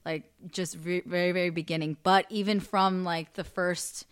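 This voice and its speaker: A woman's voice: on the low side, steady tone, moderate pace, clear, resonant tone, dry recording, clean studio sound. A woman hosting a podcast in a casual group chat, telling stories animatedly and acting out her own thoughts. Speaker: podcast host.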